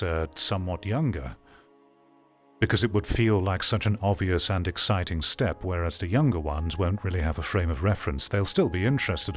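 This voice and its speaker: A middle-aged man giving casual live commentary as a streamer, rambling in a sardonic, exasperated manner. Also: sits low. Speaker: streamer reacting to a video.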